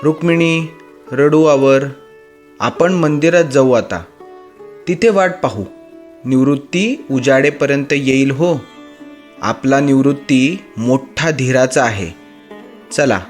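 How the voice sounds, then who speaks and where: Cartoon devotional tale: caring father of young children, mid-pitched, steady and reassuring male actor. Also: takes it slowly, long pauses, faint music behind, natural voice. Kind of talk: animated moral story dialogue.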